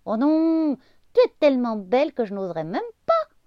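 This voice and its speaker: A woman voicing a small, cheeky, lively earthworm with a squeaky, excited voice. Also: normal speed, dry recording, muffled recording. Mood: admiring.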